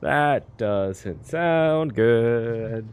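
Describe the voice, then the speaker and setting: A man's voice: medium pitch, expressive, extremely slow, a little mellow, clean tone. A man giving casual, joking reaction commentary on a game, with hesitant asides.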